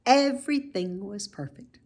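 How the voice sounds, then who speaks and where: A woman reads a children's story aloud in a medium-pitched voice. Her pace is unhurried. She is expressive, stretching key words for dramatic emphasis. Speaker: storybook reader.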